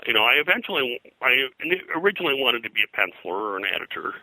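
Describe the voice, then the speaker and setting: An older man, a pop culture historian being interviewed, reminiscing in a halting, digressive way full of detail. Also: mid-range voice, sing-song, bright, forceful tone, no echo, poor audio. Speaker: pop culture historian and comics colorist, guest.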